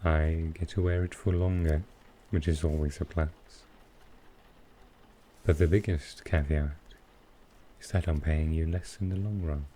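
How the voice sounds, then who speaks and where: Man playing a very deep, flirty villain. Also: steady tone, a little hiss or hum. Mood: casual, suggestive. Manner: teasing, unhurried, softly suggestive. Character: smooth, flirtatious male supervillain courting his tailor. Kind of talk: intimate ASMR roleplay acting.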